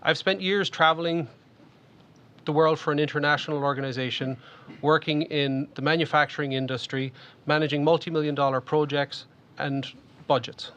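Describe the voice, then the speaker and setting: Bright-voiced Irish man giving a campaign pitch. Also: dull audio. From Ireland. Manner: formal, upbeat speech delivery. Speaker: election candidate. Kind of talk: prepared campaign statement.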